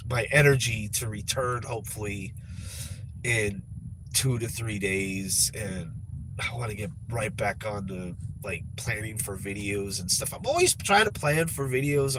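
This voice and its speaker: Man, congested nasal voice, rambling casual vlog, repeats himself, sighs, clear background noise. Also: lowish voice. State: stuffed-up nose, congested. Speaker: vlogger.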